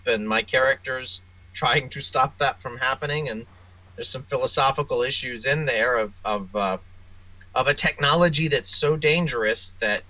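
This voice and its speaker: A man's voice: mid-range voice, pushed and bright, muffled recording. A man giving casual interview answers as a guest author, rambling and hesitant in his delivery. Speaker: interview guest, author and audio drama producer.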